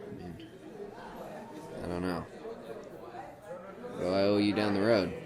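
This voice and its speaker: A man's voice: low voice, little pitch movement, unhurried, a crowd in the background. A man playing a drunken, down-on-his-luck comedian in a retro horror audio drama, grumbling in a defensive, world-weary way. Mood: wary.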